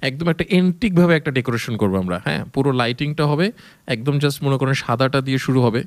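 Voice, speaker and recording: A man's voice: mid-range voice, quick pace, natural voice. A Bangladeshi man playing a persistent, polite wedding planner salesman in a prank call, hesitant and pleading. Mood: earnest. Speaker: radio prank caller. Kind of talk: improvised prank phone call.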